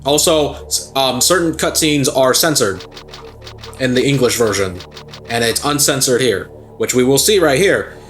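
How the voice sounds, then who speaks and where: A man's voice: mid-range voice, expressive, normal speed, fairly bright tone, soft background music. Rambling laid-back young man gaming. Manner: rambling, laughing, swearing. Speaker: let's play co-host. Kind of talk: casual gameplay chat.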